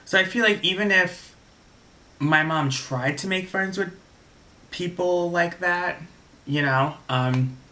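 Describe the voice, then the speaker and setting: A man's voice: high-pitched, slow pace, pauses often, bright, forceful tone. A young man from Staten Island, telling a rambling casual story to camera and restarting his sentences often. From Staten Island, New York. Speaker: vlogger.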